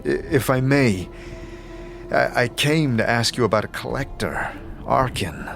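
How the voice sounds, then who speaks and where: A scarred male wanderer as acted by a man in an audio drama. He is polite and unsure, stumbling into his words at a medium pitch. Music is clearly heard behind him. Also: expressive. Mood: apologetic, earnest. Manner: halting, stammering questions. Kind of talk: audio drama character acting.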